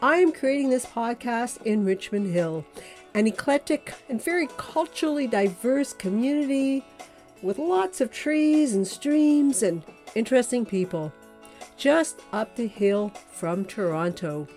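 Woman podcast host, measured and curious. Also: fairly high pitch, expressive, a little mellow, faint music behind. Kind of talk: podcast intro and interview questions.

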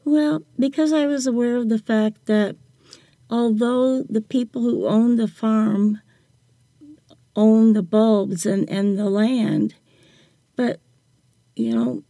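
An older woman speaks as a reflective interview guest, taking her time with halting phrases. Her voice is mellow and creaks with vocal fry. She speaks slowly throughout. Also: a little high, no room sound. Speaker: podcast guest, writer.

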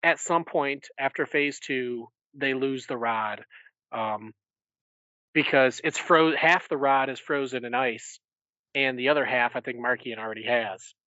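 A man's voice: mid-range voice, unhurried, bright, forceful tone, no room sound. A man co-hosting a podcast in a casual fan discussion, recorded in dry, dull, lo-fi sound. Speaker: podcast co-host.

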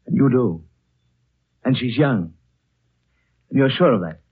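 A dreamy, world-weary gentleman in a 1930s radio play. He speaks solemnly and with careful weight, slightly husky, through a thin phone-like broadcast. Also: mid-range voice, animated. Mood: grave, probing. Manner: slow-weighted, solemn, measured.

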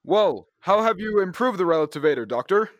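A man's voice: high voice, lively intonation, a little fast. A young man playing an eager, adventurous space hero in old-time radio serial style, earnest and full of gee-whiz enthusiasm. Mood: excited, eager. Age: young adult. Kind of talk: 1930s radio serial acting.

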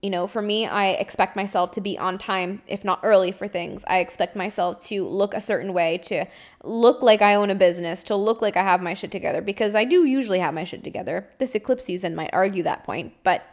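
A woman in her twenties talks through a personal story in a relaxed, candid way. Her voice sits at an ordinary middle pitch, and she keeps things loose with fillers and small laughs as she goes. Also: fairly quick. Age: 20s. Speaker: podcast host. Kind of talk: casual storytime monologue.

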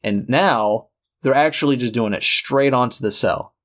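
A man's voice: medium pitch, normal speed, fairly bright tone. A podcast co-host with a nasal voice, talking loosely and at length. He laughs often and pokes fun at himself as he explains things. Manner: rambling, laughs often, self-mocking. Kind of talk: casual chat.

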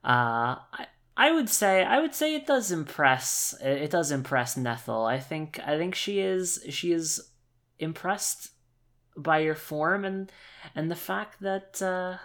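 A tabletop role-player of unclear gender casually improvising narration in a halting, wordy and wry manner. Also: medium pitch, animated, a bit bright.

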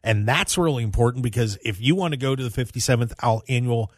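A man's voice: medium pitch, fast talker, no room sound. Male radio host, mid-range voice, casual sports-talk delivery, dry studio sound.

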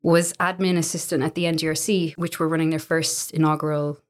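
An Irish woman in her thirties, a business owner chatting as an interview guest, relaxed and full of little fillers and restarts. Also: low-pitched, brisk. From Ireland. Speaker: guest, co-owner of a coworking space. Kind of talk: relaxed conversational storytelling.

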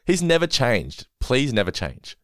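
A man hosting a casual podcast chat, talking quickly and laughing often. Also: mid-range voice, wildly swooping pitch, fairly bright tone, dry recording, studio quality. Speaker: podcast host.

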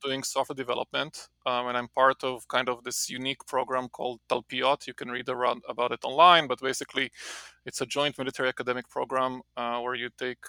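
A man's voice: medium pitch, little pitch movement, a little fast, bright, forceful tone, smooth, no room sound. A man in his forties with an Israeli accent, a professor talking casually from his home office, rambling with many restarts. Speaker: marketing professor, guest. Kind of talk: casual interview talk.

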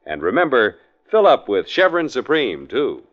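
A man's voice: mid-range voice, wildly swooping pitch, normal speed. A polished, salesmanlike man announcing and advertising in 1940s radio style, on a muffled vintage broadcast recording.

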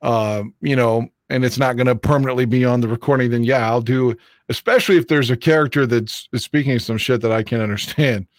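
Casting: mid-pitched male co-host, easygoing, wanders off topic. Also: steady tone, a little fast. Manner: easygoing, apologetic, drifts off topic. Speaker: podcast co-host. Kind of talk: casual chat.

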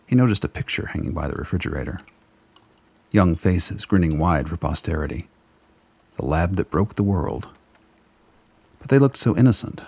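Deep, gravelly male narrator. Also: no echo. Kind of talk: scripted radio drama narration.

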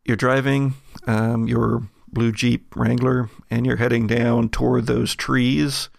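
A man narrates a tabletop role-playing game as its game master. His voice is heavily creaky and he takes his time, improvising scene descriptions in halting, deliberate phrases. The sound is close and dry. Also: medium pitch, little pitch movement, dry recording.

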